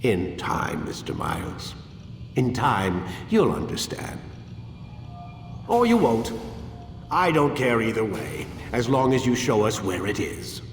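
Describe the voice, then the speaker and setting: An actor playing a cold, condescending older scientist in a video game, speaking with theatrical emphasis. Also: male voice, big pitch swings, takes it slowly, gravelly, soft background music, exaggerated voice. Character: condescending, cold older male scientist, captor with grand designs. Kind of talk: video game cutscene acting. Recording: echoey room with background rumble.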